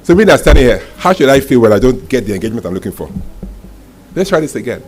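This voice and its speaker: A man giving a live motivational masterclass to an audience, speaking emphatically and deliberately and repeating key phrases. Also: mid-range voice, very animated, a little mellow. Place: indoor event room with audience. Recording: noisy live event room.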